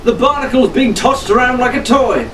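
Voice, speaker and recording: A man's voice: extremely high pitch, moderate pace, roomy sound, far from the mic, noisy background, voice pushed up. A man voicing a proper, protesting teenage boy sailor with a formal, indignant manner in an audiobook. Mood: alarmed. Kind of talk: audiobook character acting.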